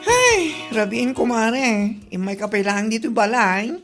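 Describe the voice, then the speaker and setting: Fairly high creaky female voice, brash gossiping friend, reproachful, exclaiming, radio comedy. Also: expressive, unhurried, music in the background. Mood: surprised, reproachful. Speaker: radio drama actress. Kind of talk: Ilocano comedy radio drama acting.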